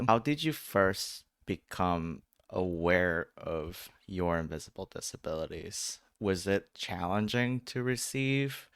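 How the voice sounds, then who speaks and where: A man hosting a podcast, introducing guests and asking questions in a friendly, measured way. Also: low-pitched, a bit bright, clean tone, no room sound, clean studio sound. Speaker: podcast host.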